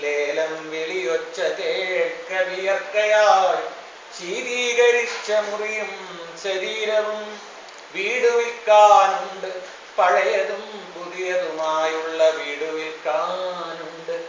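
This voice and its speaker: A man recites poetry melodically, in an impassioned manner with drawn-out phrasing. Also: high voice, steady tone, a bit bright. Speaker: poet. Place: small gathering at a function. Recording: echoey, distant, dull, faintly noisy event recording.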